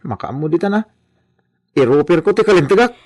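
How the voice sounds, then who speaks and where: A man's voice: on the high side, lively intonation, fairly quick, darkish tone. A Filipino-accented man playing an aggrieved, stubborn young porter and son in a radio comedy-drama, plaintive and protesting.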